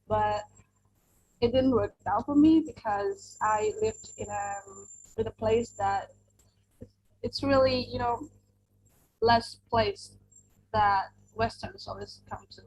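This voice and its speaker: A woman's voice: a little high, lively intonation, takes it slowly, darkish tone, a little hiss or hum, low-quality recording. A young adult woman from Indonesia, an English teacher whose first language is Indonesian, answering interview questions earnestly with many fillers and restarts.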